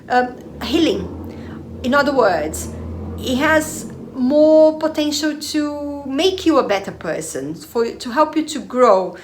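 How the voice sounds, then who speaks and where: A woman whose first language is Portuguese, talking informally to her viewers as a channel host, often searching for words. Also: fairly high pitch. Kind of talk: informal personal talk to viewers.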